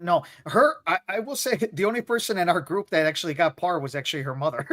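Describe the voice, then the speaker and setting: A man co-hosting a casual sports podcast, talking in a halting way with frequent restarts. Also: sits high, sharp tone, no room sound, clean studio sound. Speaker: podcast co-host.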